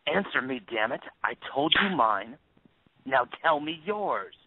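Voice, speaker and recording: A man acting in an audio play as a bitter grieving father turned cold avenger, accusing and sarcastic in a deliberate, commanding way. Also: medium pitch, sing-song, heavy background noise. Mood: furious. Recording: tinny phone-like band.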